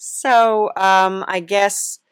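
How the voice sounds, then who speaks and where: A woman's voice: mid-range voice, no echo. A woman hosting a casual comic podcast interview in a hesitant, awkwardly chirpy, giggly manner. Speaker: podcast host.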